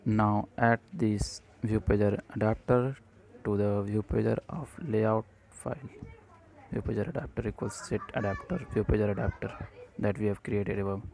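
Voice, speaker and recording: A man presenting an unscripted screencast coding tutorial in short, halting phrases. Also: on the low side, steady tone, gravelly, darkish tone, low-quality recording.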